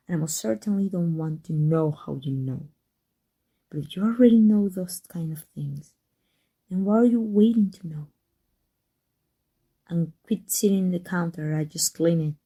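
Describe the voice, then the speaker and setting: Woman acting as a snarky female villain in an asmr roleplay, mellow voice w a slightly husky edge, super sardonic n taunting. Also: lowish voice, exaggerated voice. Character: sarcastic, prickly female supervillain trading barbs with a rival. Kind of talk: ASMR roleplay audio drama.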